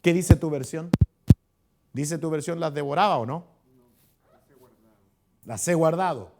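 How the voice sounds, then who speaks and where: A Chilean man with a raised, gravelly voice teaching a church Bible study. He talks loosely, circles back on his words and keeps asking the congregation questions. The effect is casual and chatty. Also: a little high, measured pace, background noise. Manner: chatty, asks the congregation questions, frequent restarts. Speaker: pastor. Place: church hall.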